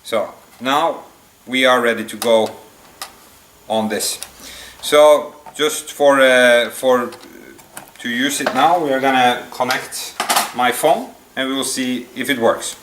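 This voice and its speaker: A man giving an unscripted product demonstration, explaining step by step in a halting, hesitant way. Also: mid-range voice, fairly bright tone. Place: indoor room at a table. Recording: echoey room, distant mic, faint noise.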